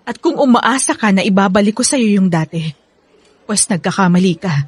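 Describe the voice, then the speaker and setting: A woman's voice: medium pitch, expressive, brisk, husky, dry recording. An actress playing a young woman in a radio drama, emphatic and easily exasperated. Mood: exasperated, firm. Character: exasperated, firm young woman rejecting her ex-lover.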